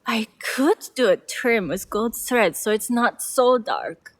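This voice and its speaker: A lilting, obliging tailor. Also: female voice, on the high side, takes it slowly. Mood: eager to please. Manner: polite, expressive, deliberating. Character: obliging, fussy female silk tailor, proud of her craft. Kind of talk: dramatic video game cutscene acting.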